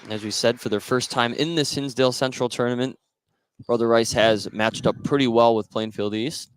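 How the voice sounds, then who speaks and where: A man's voice: medium pitch, steady tone, brisk, slight background noise. An American man doing live radio play-by-play commentary of a basketball game as it unfolds. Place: indoor basketball gym during a game.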